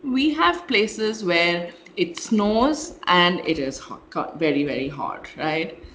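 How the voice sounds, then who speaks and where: A woman from India, co-hosting a casual podcast and telling animated stories with laughs. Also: fairly low pitch, slow, slight background noise. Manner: animated storyteller, laughs mid-sentence. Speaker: podcast co-host. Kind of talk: casual chat.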